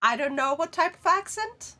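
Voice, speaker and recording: A woman's voice: sits high, animated, moderate pace, bright, forceful tone, soft background music. A young woman with a Swedish accent, chatting playfully with her viewers while streaming a game. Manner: chatty and playful, talking to chat while playing. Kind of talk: casual gaming livestream chat.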